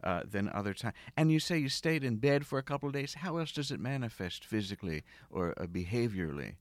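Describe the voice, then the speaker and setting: A man from outside Philadelphia hosting a podcast interview, speaking in a slow, soothing, deliberate way. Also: medium pitch, lively intonation, no room sound. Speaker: podcast host.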